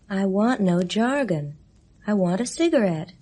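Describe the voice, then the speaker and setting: A woman's voice: mid-range voice, animated, slow pace, darkish tone. An actress playing a restless, bored young woman in a 1970s radio drama, speaking in a languid, sighing, bitterly weary way.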